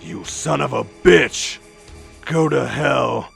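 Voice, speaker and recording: An actor playing a defiant, sardonic captive colonel in a radio drama. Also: male voice, medium pitch, animated, slow pace, creaky, fairly bright tone, faint music behind, full character voice. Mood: furious. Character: wry, defiant captive older male colonel.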